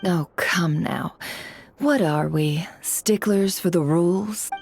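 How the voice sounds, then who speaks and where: Slow, poised, shrewd woman boss. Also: on the low side, darkish tone. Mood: composed, shrewd. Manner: measured, teasing, formal. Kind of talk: video game voice acting.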